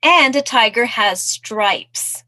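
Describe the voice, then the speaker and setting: A woman voicing a doubting, dismissive fox with emphatic, drawn-out words in a children's picture-book read-aloud. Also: a little high, animated, bright, forceful tone, voice pushed up, full character voice. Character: doubting fox challenging a little mouse.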